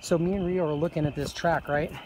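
A man calls out directions in the dark woods, blunt and jittery, and swears often. His voice sits fairly high for a man. Clear wind noise runs under the phone recording. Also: steady tone, quick pace, poor audio. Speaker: bigfoot researcher. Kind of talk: excited field exploring. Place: woods at night, outdoors. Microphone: handheld phone camera. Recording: windy outdoor phone audio.

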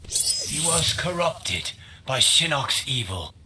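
A man playing a calm, noble god and protector in a video game, with a formal, lofty delivery. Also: medium pitch, slow pace, background noise. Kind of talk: video game fight intro dialogue.

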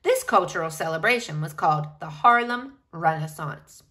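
A woman reading a picture book aloud to children in an expressive, animated way, speaking directly to her young listeners. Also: fairly low pitch, moderate pace.

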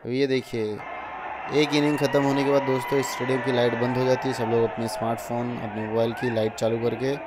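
A young man tells his vlog in a casual voice-over, talking straight to his viewers as friends. His delivery stays level, with little rise and fall. A steady hiss of noise sits under the voice. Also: mid-range voice, fairly quick. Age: young adult. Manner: chatty, addresses viewers as friends. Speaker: vlogger.

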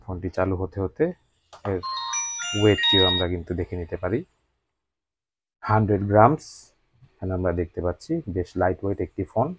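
A man's voice: low-pitched, fairly even intonation, brisk, pauses often, dry recording, soft background music. A man with a Bangladeshi accent narrating a scripted product unboxing review as the channel's host.

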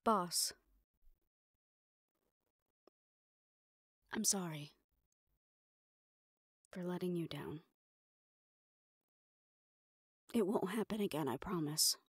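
A woman's voice: on the low side, unhurried, slightly rough, no echo. A woman playing a sardonic, capable personal assistant to a mafia boss in an intimate audio roleplay, speaking in a dry, familiar, businesslike way. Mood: apologetic, subdued. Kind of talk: intimate scripted audio roleplay, one-sided dialogue.

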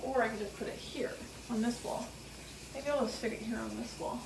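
A woman's voice: fairly high pitch, fairly even intonation, a little fast, creaky. A middle-aged woman vlogging at home, thinking aloud in casual chat as she works. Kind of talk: casual chat while decorating. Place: small laundry room indoors at home. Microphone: camera or phone set a few feet away. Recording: roomy, distant mic, noisy background.

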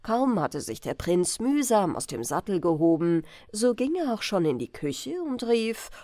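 A woman narrating a bedtime fairy tale as an audiobook, measured and careful in her storytelling. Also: medium pitch, big pitch swings, dark tone, no room sound, clean studio sound, faint music behind.

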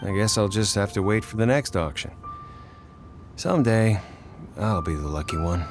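A man's voice: fairly low pitch, expressive, normal speed, close to an everyday voice. An actor voicing a dejected, unlucky male bidder who won nothing, with game music behind. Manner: dejected, resigned. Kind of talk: game voice acting.

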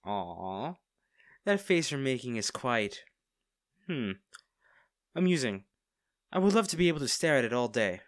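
A smug villain man, acted by a man in an intimate audio drama. He has a mid-pitched voice and lingers over coy, teasing pauses, hiding his fondness. The sound is dry and close. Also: sing-song, dry recording. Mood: smug, playful. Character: smug, teasing villain man, secretly fond of captive hero. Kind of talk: intimate scripted audio roleplay.

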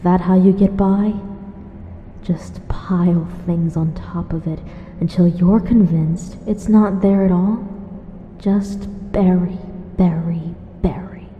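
Woman acting mean ghost girl, slow sarcastic taunts, lowish voice, thin cavernous echo. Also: steady tone, slight background noise. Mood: cruel, taunting. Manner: mocking, slow, sardonic. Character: taunting, cruel phantom young woman haunting a survivor. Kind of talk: audio drama acting. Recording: cavernous reverb, thin phone-like band.

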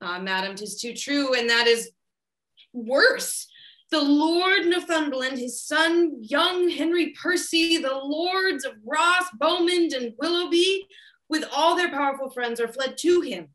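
An actress reading a courtier who brings grave news, over a video call. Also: female voice, sits high, a little slow, fairly bright tone, poor audio. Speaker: actor in a staged reading. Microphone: video call webcam.

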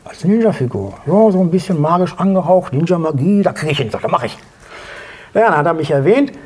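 A man in his fifties telling anecdotes as an interview guest, excitable and acting out dialogue in short bursts. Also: fairly high pitch, brisk, breathy edge. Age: 50s. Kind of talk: animated anecdotal storytelling. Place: small indoor studio set at a table.

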